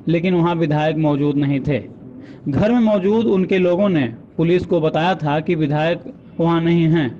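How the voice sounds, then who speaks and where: A man's voice: fairly high pitch, dark tone. A man reading scripted news narration as a voice-over, on a dull and slightly noisy recording. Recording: dull lo-fi voice-over, faint noise.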